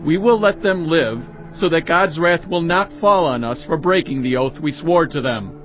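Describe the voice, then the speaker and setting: An actor playing a firm, authoritative assembly leader in a Bible audio drama. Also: male voice, on the high side, normal speed, lo-fi sound, faint music behind, natural voice. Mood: firm resolve.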